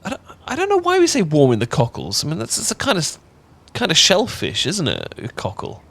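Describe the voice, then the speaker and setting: A man's voice: a little high, wildly swooping pitch, a little fast, vocal fry, fairly bright tone, full character voice. A man with an English accent acting a bashful, affectionate young roommate in an intimate ASMR roleplay, chatty and stammering when flustered. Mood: warm, chatty. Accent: British, English. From England. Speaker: audio roleplay voice actor.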